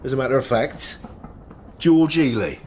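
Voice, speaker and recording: Actor doing bossy defensive husband, radio play, medium pitch, brusque, slight noise. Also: male voice, expressive, moderate pace, darkish tone, lightly acted. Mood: curt, irritated. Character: curt, defensive, domineering husband. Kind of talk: radio drama acting.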